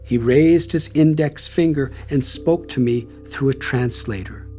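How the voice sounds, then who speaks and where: Slow, reflective older man narrating. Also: medium pitch, mellow. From United States. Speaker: author reading his memoir. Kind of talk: audiobook narration. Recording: light music under the voice.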